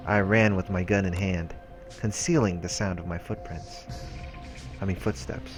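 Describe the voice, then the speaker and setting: A man's voice: low-pitched, fairly even intonation, normal speed. A man reading visual novel narration aloud as a let's play host, with casual asides. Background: game music.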